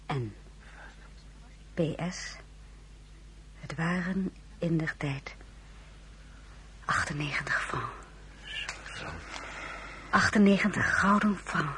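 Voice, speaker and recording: A woman playing a spirited young mother and marshal's wife in a period radio drama, expressive and often dropping to a whisper. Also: low voice, takes it slowly, pauses often, noisy background. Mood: astonished.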